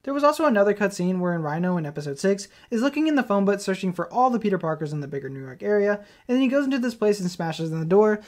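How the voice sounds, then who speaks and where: A man's voice: high voice, sing-song, racing, hardly any pauses, darkish tone. A man narrating an informal fan video essay as its host. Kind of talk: informal fan commentary, partly scripted.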